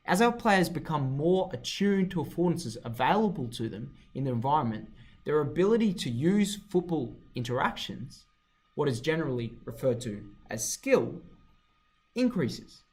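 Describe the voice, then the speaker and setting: A man's voice: fairly high pitch, sing-song, normal speed, a little mellow, poor audio. A man narrating a scripted educational video in a formal, explanatory manner.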